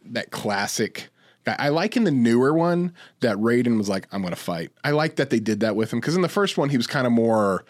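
A man's voice: mid-range voice, animated, brisk, clean studio sound. A man co-hosting a podcast, chatting casually in an eager, agreeable manner. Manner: agreeable, eager, often echoing the other speaker. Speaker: podcast co-host. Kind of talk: casual pop-culture chat about movies.

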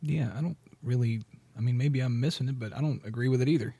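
A man's voice: medium pitch, fast talker, mellow, husky. A man in casual podcast conversation, talking bluntly and pushing back as he goes. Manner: blunt, challenging. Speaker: podcast co-host. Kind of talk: casual chat.

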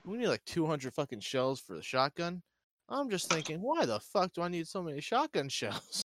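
A man's voice: fairly high pitch, very animated, moderate pace, a bit bright. A man co-hosting a podcast, chatting casually and swearing freely. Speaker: podcast co-host. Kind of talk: casual, profane banter.

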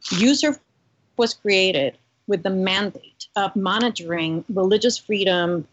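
A woman's voice: medium pitch, measured pace, dull audio. A woman from the United States, a guest on a podcast, speaking in an earnest, articulate way. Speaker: guest, religious freedom advocate. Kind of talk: podcast interview.

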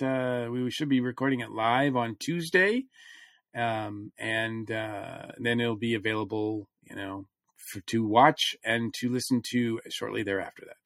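A man's voice: medium pitch, a bit bright, no room sound, studio quality. A man co-hosting a podcast in casual conversation. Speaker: podcast co-host. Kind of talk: casual chat.

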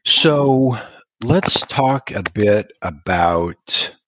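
A man hosting a podcast interview, friendly and measured, asking questions with occasional fillers. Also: lowish voice, animated, fairly bright tone, no room sound, low-quality recording. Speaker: podcast host.

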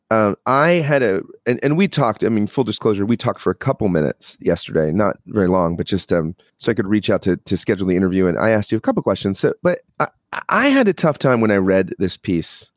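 A man's voice: lowish voice, no echo, clean studio sound. A man hosting a podcast, asking rambling, joking questions full of fillers. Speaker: podcast host. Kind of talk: podcast interview.

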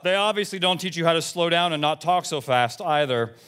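A man's voice: fairly high pitch, lively intonation, fairly quick, fairly bright tone, smooth. A man voicing a nosy, self-righteous Southern church lady in her seventies, indignant and blunt, in a comic reading of emails. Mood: chatty, prim.

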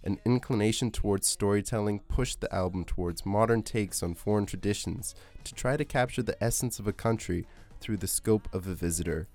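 A man hosting a podcast, reading scripted music-documentary narration in a measured, essay-like way. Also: on the low side, a little mellow. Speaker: podcast host. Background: music under the voice.

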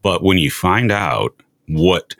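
A man's voice: low voice, lively intonation, slow pace, fairly bright tone, no echo. A man hosting a show, chatting casually in an interview. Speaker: show host.

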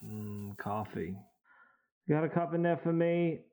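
An actor playing a hungover, irritable young boyfriend who is groggy, defensive and sarcastic. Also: male voice, on the high side, expressive, slow, warm tone. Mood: grumpy. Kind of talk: audio drama acting.

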